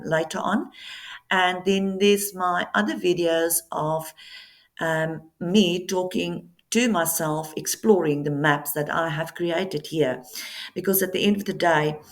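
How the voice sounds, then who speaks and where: Slow, hesitant woman. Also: low-pitched. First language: Afrikaans. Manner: slow, hesitant, with many ums and pauses. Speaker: author presenting her book. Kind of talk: casual talk to camera. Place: Namibian coastal town.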